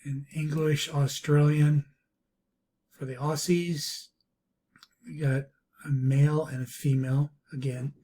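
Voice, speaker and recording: Guy doing a screen walkthrough review, kinda high soft-edged mellow voice, stop-and-start phrasing. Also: flat delivery, takes it slowly, pauses often. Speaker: product reviewer. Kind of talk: screen-recorded software walkthrough. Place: voice-over recorded over a screen capture.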